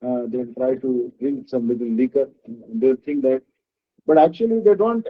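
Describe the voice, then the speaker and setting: A man giving a devotional discourse as a spiritual teacher, halting often and checking in with the listeners. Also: medium pitch. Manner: halting, with frequent "uh" and questioning "huh?". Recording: dull lo-fi recording.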